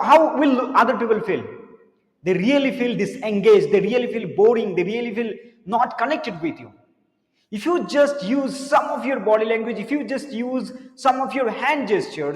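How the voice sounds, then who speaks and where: A man who teaches spoken English, giving an earnest motivational lesson to camera, repeating words and dropping his voice low for serious points. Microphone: camera mic, speaking to camera. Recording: echoey room with long reverb.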